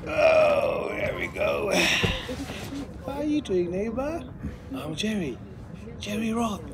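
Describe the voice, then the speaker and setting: An actor playing a chatty, over-friendly airline passenger in a modern audio drama. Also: male voice, high-pitched, wildly swooping pitch, slow pace, a crowd in the background, heavily acted. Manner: chatty, familiar.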